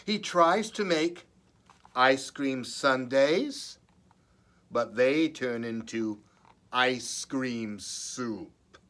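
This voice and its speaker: A man reading aloud at a children's story time as a librarian, expressive and deliberate, with playful chatty asides. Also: mid-range voice, a little hiss or hum.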